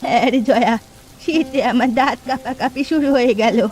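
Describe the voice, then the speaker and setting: A woman's voice: sits high, brisk, darkish tone, close to an everyday voice. A woman voicing an elderly, fretful and grumbling village aunt in a cartoon fairy tale.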